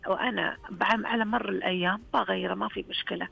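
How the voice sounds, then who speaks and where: A woman's voice: medium pitch, fairly even intonation, fast talker, creaky, breathy edge, highs cut off, soft background music. A woman with a Saihat Saudi accent, calling in to a radio show and chatting earnestly and frankly. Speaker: radio call-in caller. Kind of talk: casual phone-in chat.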